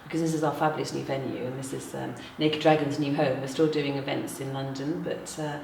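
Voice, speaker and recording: A woman's voice: extremely low pitch, flat delivery, brisk, gravelly, darkish tone. A woman from Wales chatting as an event host and interviewer. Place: indoors in a manor house venue. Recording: echoey room, distant mic, background noise.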